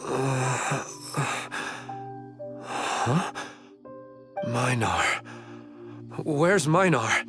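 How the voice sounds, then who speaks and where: A man's voice: mid-range voice, sing-song, drawn-out words, pauses often, music in the background, exaggerated voice. An actor playing a wistful, dazed married man in a video game, earnest and hesitant.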